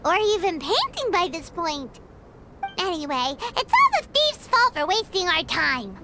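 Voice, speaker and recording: A woman voicing a small, chirpy, childlike fairy companion in a video game, squeaky and chatty. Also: unhurried, heavy background noise, heavily acted. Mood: chatty, curious. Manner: excitable, chatty, exclamatory.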